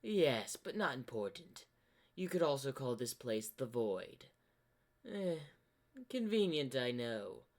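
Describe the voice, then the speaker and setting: A man voicing a sinister corrupted double of a zebra hero, smug and menacing, with theatrical, taunting, drawn-out phrasing. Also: mid-range voice, very animated. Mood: smug, casual.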